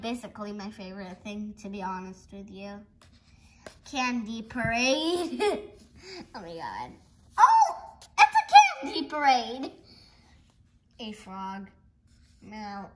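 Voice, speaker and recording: A young girl reading a picture book aloud haltingly, with giggly, exclaiming casual asides. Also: a little high, fairly bright tone. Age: child. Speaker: host of a read-along video.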